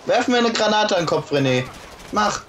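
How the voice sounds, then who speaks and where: A man's voice: sits high, very animated, moderate pace, fairly bright tone, a little hiss or hum. A young man chatting casually with friends over an online game, joking and teasing and laughing often. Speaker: gamer. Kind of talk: casual gaming chat with friends.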